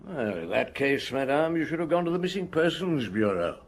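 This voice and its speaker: An actor playing an older, wry, unhurried police chief inspector in a radio drama, musing and gently probing with hums and pauses. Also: male voice, a little high, big pitch swings.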